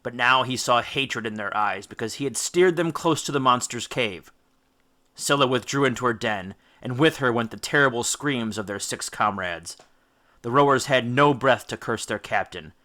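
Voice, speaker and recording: A man reading an epic story aloud as an audiobook, with theatrical, emphatic delivery and deliberate dramatic stresses. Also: mid-range voice, pushed and bright. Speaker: audiobook narrator. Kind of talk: dramatic scripted storybook narration.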